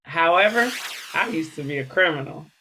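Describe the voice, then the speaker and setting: A woman's voice: low-pitched, lively intonation, moderate pace, background noise. An American woman from Hawaii speaking candidly and informally as an interview guest, earnest and emphatic, repeating words for stress. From Hawaii, United States. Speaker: interview guest, education program director.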